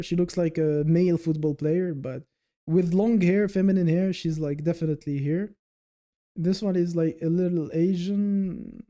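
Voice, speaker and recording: A man's voice: high-pitched, warm tone. A man hosting a casual livestream, rambling and thinking aloud as he chats with viewers. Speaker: livestream host.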